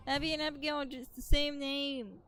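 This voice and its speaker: A woman's voice: sits high, flat delivery, a little fast, fairly bright tone, soft background music. A woman game streamer chatting casually with playful asides while she plays. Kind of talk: casual gameplay commentary.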